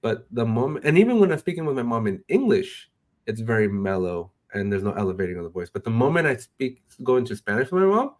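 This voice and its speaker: A middle-aged man hosting an interview, relaxed and conversational, chuckling often. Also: mid-range voice, quick pace. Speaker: host. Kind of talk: casual interview chat.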